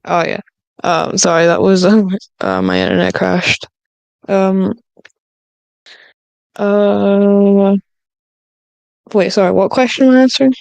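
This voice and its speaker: A woman speaks slowly and hesitantly as a podcast panelist. Her voice is smooth with plenty of fry, and her casual chat sounds flustered. The recording is dry. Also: mid-range voice, lively intonation, darkish tone, no room sound.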